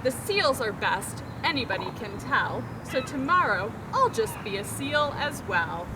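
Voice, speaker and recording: Storybook male emu, restless and keen, female narrator acting, very animated rhyming delivery, noisy backdrop. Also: on the high side, measured pace. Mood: decided, pleased. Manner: expressive, declaiming in rhyme.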